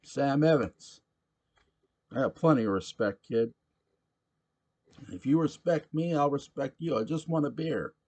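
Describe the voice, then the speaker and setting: A man voicing a gruff, pushy drunk middle-aged man at a bar in a read-aloud story. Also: mid-range voice, a little slow, pauses often, a little mellow, pitched above the performer's own voice. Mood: belligerent.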